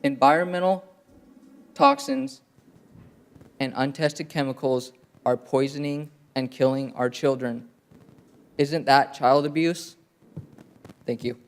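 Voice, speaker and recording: A man speaking at a press conference in a forceful, rhetorical way. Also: mid-range voice, slow, long pauses.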